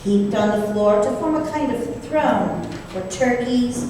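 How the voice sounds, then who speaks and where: Casting: female storyteller for radio drama, fairly high voice, unhurried, in a very echoey hall. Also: animated, dark tone. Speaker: narrator. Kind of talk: scripted storytelling in old-time radio performance. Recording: distant, echoey hall, faint noise.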